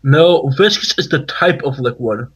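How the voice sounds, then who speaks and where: Male livestream co-commentator, casual, rough-edged and husky, with a very varied pitch. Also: expressive, measured pace, fairly bright tone, poor audio. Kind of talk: casual chat.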